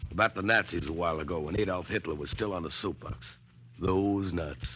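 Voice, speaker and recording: Forceful, earnest priest. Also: male voice, low-pitched, a little fast, husky. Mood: urgent warning. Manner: insistent, admonishing. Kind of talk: 1940s radio drama acting.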